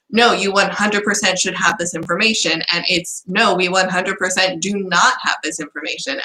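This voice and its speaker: Chatty young woman, bright voice. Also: fairly low pitch, roomy sound, rough recording. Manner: frustrated, rambling rant. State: losing her voice. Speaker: exchange student vlogger. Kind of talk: casual vlog chat.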